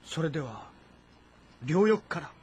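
An actor playing an earnest young general in a historical game, reporting in a respectful, orderly way. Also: male voice, a little high, sing-song, a little slow, darkish tone, husky, heavily acted. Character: earnest young general, warlord's son proposing tactics.

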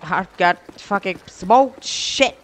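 A man's voice: sits high, expressive, slow pace, fairly bright tone, a little hiss or hum. A young man casually telling a story while commentating on a game, rambling in slang with heavy swearing. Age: young adult. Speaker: gaming video creator telling stories over gameplay.

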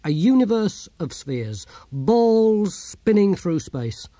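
A man's voice: high-pitched, big pitch swings, slow pace, mellow, no echo, voice pushed up, full character voice. A man voicing a blunt, wry old philosopher in an audiobook story, declaiming theatrically with dry humour. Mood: mock submissive.

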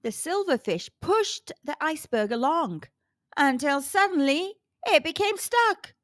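A woman reads a children's story aloud as a calm, deliberate storyteller speaking to young listeners. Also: sits high, dry recording, studio quality. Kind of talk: read-aloud story narration.

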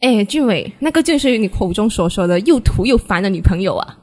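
A woman's voice: mid-range voice, animated, fairly quick, a little mellow, natural voice. A young woman acting in a radio drama as a haughty, venomous love rival, scornful and brash. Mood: contemptuous. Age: young adult.

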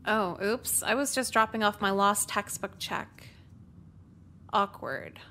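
A woman voicing a sardonic, defiant teenage high school girl in a visual novel. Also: slow pace, bright, forceful tone. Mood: flippant.

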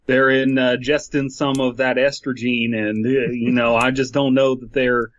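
A man playing a chauvinist cornhole champion who justifies himself haltingly in a comedy podcast skit. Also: mid-range voice, little pitch movement, lo-fi sound, natural voice. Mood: defensive, halting. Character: hesitant, chauvinist male cornhole champion being interviewed.

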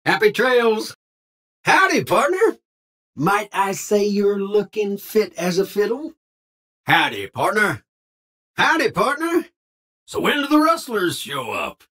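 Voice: mid-range voice, big pitch swings, takes it slowly, pauses often, fairly bright tone, heavily acted. A voice actor playing a feisty folksy cowboy in a video game, speaking in an exaggerated frontier drawl full of old Western slang. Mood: friendly. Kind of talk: video game character voice lines.

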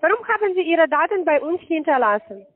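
A woman's voice: very high voice, very animated, fairly quick. A woman working as a call-center scammer on a phone call, chirpy and speaking German with non-native grammar. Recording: tinny phone line.

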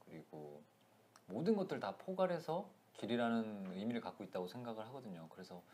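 A man in his thirties, a guest in a casual interview, talking softly and thoughtfully with frequent laughs, on a lapel mic in a small indoor room. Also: fairly low pitch, big pitch swings. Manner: soft-spoken, laughs often, thoughtful pauses. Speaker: actor guest.

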